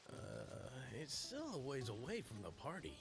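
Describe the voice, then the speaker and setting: A man voicing a nervous, evasive townsman in a video game, hesitant and halting. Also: mid-range voice, huge pitch swings, over music. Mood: reluctant. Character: nervous, evasive male townsman, reluctant guest. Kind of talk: game character acting.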